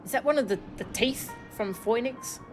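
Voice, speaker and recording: A woman's voice: on the high side, moderate pace. A woman game streamer commenting live as she reads through a story. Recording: music behind the voice. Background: game music.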